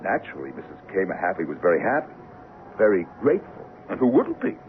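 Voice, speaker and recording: An excitable, exasperated theater producer, swooping pitch. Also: male voice, fast. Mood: wry, wounded. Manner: emphatic, repetitive, effusive. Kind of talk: old-time radio drama acting. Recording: muffled, hissy old radio recording.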